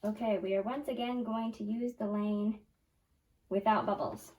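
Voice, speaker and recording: A 12-year-old girl with a squeaky, high voice talks her way through a home science experiment. She chats along, correcting herself as she goes. She sounds set back from the microphone in a small room. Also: fairly even intonation, moderate pace, darkish tone. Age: 12 years old. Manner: chatty, self-correcting, talks through each step. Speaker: young science channel host. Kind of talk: casual hands-on lab demo. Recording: voice from a distance off the mic.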